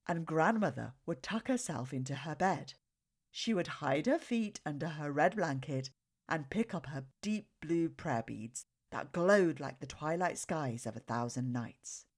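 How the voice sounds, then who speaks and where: A woman reading a children's story aloud with expressive, dramatic phrasing. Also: sits low.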